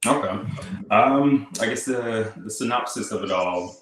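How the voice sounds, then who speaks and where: A man in his thirties from Haiti, a podcast guest telling his story in relaxed casual chat, full of "like" and "kinda" fillers. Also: fairly low pitch, measured pace. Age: 30s. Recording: echoey room.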